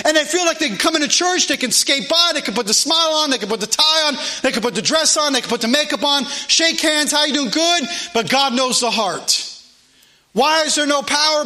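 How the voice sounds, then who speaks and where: Male preacher, American accent, extremely high-pitched, sharp and intense delivery, fervent sermon style with emphatic repetition. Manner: emphatic, exhorting, repeats phrases for emphasis. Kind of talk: fervent sermon with scripture readings.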